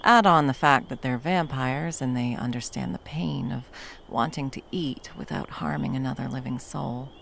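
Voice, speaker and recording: A woman playing a battle-worn monster hunter, gruff but tenderly protective, in an intimate ASMR roleplay with soft teasing and drops into whispers. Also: very deep voice, moderate pace, a little hiss or hum, full character voice. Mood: caring, relaxed.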